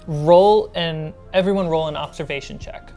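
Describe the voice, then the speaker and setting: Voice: mid-range voice, moderate pace, warm tone, faint music behind. A game master narrating a tabletop role-playing game to the players.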